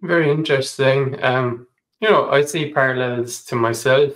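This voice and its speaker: Casual Irish interviewer. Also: male voice, mid-range voice, moderate pace. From Ireland. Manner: casual and searching, with many fillers. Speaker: podcast host. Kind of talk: interview, conversational.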